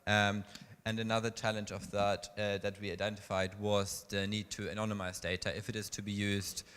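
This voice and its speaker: A man with a low voice reports his session's results at a conference. He works through the points from his notes. The sound carries a long hall reverb. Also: level tone, brisk, fairly bright tone, smooth. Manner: summarizing from notes. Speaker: session coordinator presenting results. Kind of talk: conference presentation summary.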